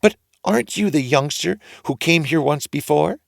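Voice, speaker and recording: A man voicing a great big ogre's wife in a storybook, high-pitched, exclaiming, brusque yet motherly. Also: normal speed, creaky, no echo, studio quality. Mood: scolding.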